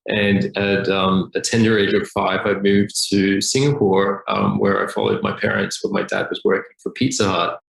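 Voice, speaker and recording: A man from Australia speaks as a podcast guest over a remote line, relaxed and unhurried, padding his thoughts with ums. His voice sits low with a gravelly edge. The room around him is echoey. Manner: relaxed, many filler ums. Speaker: podcast guest, company founder. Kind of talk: casual interview talk.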